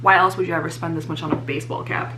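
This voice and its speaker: A young woman vlogger, slightly hoarse, chatting casually and teasing herself about her purchases. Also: low voice, steady tone, very fast, very noisy. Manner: chatty, self-mocking, many fillers. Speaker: fashion vlogger. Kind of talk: casual haul chat to camera.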